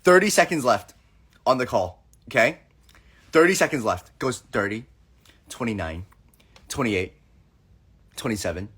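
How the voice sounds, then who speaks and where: A young man talking into his phone on a casual livestream, playful and chatty. His pitch jumps around a lot as he acts out other people's lines, but it sits at an ordinary middle height. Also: expressive, a little slow, pauses often, fairly bright tone, husky. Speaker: livestream host.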